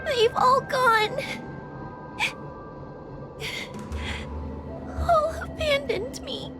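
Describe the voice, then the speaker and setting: A lonely young fox girl in a video game, timid and plaintive. The voice is extremely high and hesitant, moving slowly through each phrase over clear background music. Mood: despairing. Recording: music under the voice.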